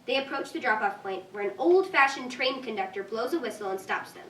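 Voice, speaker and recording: A woman's voice: fairly high pitch, lively intonation, a little fast, a bit bright. A woman reading stage directions as scripted narration at a live staged reading. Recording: echoey room, distant mic, faint noise.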